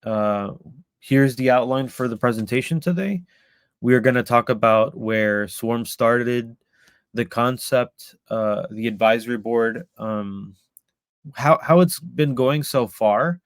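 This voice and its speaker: Smooth-voiced man presenting with many pauses. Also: mid-range voice, no echo. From El Salvador. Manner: hesitant, many ums and restarts. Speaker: community founder presenting. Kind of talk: live online presentation.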